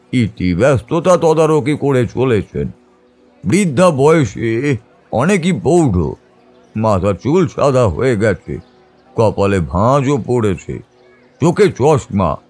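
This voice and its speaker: A man narrating a literary first-person ghost story in a measured, dramatic storytelling manner. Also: medium pitch, darkish tone. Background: background music.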